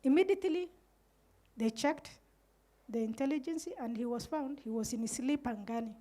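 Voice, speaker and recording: A woman's voice: on the high side, normal speed, mellow. A middle-aged woman with a Kenyan Somali accent speaks earnestly on a panel, recounting events with quoted dialogue into a handheld microphone. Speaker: community radio manager on a panel. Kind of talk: panel talk, storytelling. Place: indoor room with curtains.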